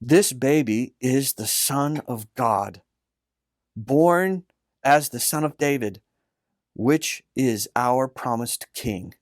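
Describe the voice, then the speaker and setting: Storybook uncle, elderly, devout and tender, played by a man; unhurried, earnest delivery, dry sound. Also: medium pitch, animated, dry recording. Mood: earnest, hopeful. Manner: earnest, deliberate. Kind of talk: storybook dialogue.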